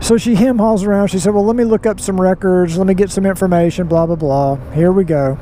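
A middle-aged man talks from his helmet mic as he rides a motorcycle through town, his voice sitting fairly high for a man. Steady road and wind noise runs under his words. He tells his story in a folksy, rambling way, full of asides. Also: fairly quick. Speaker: motorcycle vlogger. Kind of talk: casual riding vlog monologue. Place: outdoors riding a motorcycle on city roads. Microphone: helmet mic, helmet-mounted camera.

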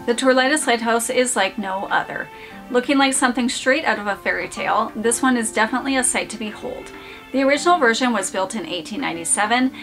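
A woman's voice: on the high side, poor audio. A woman in her forties hosting a lighthouse channel, reading a script in an upbeat, enthusiastic way, framed head and shoulders. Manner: upbeat, enthusiastic descriptive narration. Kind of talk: scripted narration. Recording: clear background music.

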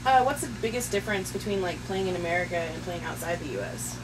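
A woman's voice: on the low side, animated, fast. A woman asking questions casually as an interviewer. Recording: distant mic, clear vehicle and air-con hum.

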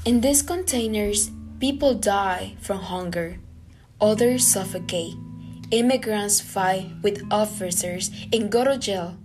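Guatemalan-born student, reads evenly at a middle pitch over guitar music. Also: female voice, slow pace. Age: young adult. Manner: earnest, steady reading. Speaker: student podcaster. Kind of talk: scripted reading.

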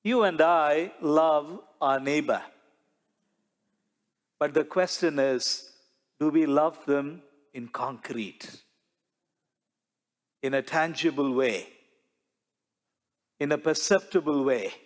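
A middle-aged man preaching a homily as a priest, slow and measured, building his points with repeated rhetorical questions. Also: on the high side. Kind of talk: sermon. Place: large church sanctuary before the altar. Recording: long church reverb.

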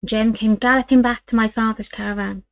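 Woman voicing a fierce old great-grandmother, very old, high croaky voice, gravelly, dry close sound. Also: steady tone, a little fast, dry recording, natural voice. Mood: wistful.

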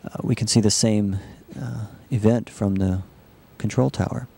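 A man narrating a software demonstration as a researcher, explaining it in a halting, measured way. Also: on the low side, steady tone, creaky, slightly rough. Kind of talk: live demo narration of a computer animation.

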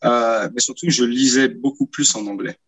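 A man in his thirties, a guest author answering interview questions in relaxed, casual speech full of hesitations and repeated words, recorded over a video call from a small room. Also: medium pitch, normal speed, husky, poor audio. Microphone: video call, earphones.

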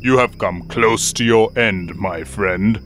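A man's voice: fairly low pitch, takes it slowly, a bit bright, faint music behind. A man voicing a haughty, menacing lion king in a cartoon, sly and mockingly polite. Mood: threatening. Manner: mocking, drawling mock politeness. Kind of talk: cartoon voice acting.

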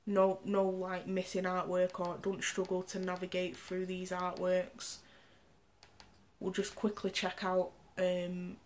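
A woman's voice: lowish voice, completely flat delivery, takes it slowly, a little hiss or hum. A woman, stuffed up and nasal from a cold, casually reviewing a tech gadget while demonstrating it on screen. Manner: chatty, explains specs while demonstrating. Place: indoor room, camera on the screen.

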